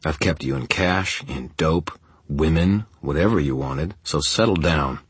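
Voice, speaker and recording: Guy putting on a gruff gang boss, sandpaper growl, low, calm and talking down to you, audiobook style. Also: no room sound. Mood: weary menace. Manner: measured, condescending.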